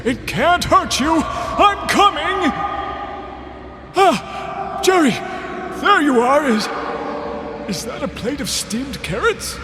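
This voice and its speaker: An actor playing an earnest, plucky wizard hero in a fantasy comedy audio drama, dramatic and urgent. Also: male voice, extremely high pitch, big pitch swings, takes it slowly, long pauses, heavily acted. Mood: alarmed. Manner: dramatic, exclamatory. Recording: reverberant hall, clear background noise.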